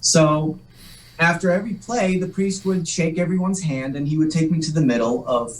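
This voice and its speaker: A man's voice: on the high side, moderate pace. Man, echoey and distant. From Massachusetts, USA. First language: Polish. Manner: rambling, self-deprecating joker. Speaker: guest, actor. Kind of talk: casual interview storytelling. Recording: echoey room, distant mic.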